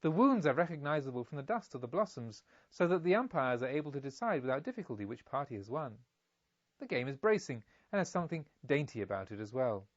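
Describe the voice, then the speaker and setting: A man's voice: mid-range voice, sing-song, fast talker, no room sound, highs cut off. A man voicing a polite, carefree young merchant's son in an audiobook, with eager, formal speech. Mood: polite, enthusiastic. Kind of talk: audiobook character dialogue.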